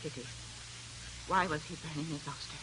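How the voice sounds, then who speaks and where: An actress playing an anxious, protective middle-aged aunt in 1940s radio drama, worried and fussy. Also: female voice, low voice, fairly even intonation, moderate pace, lightly acted. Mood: suspicious, worried. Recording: noisy old radio recording.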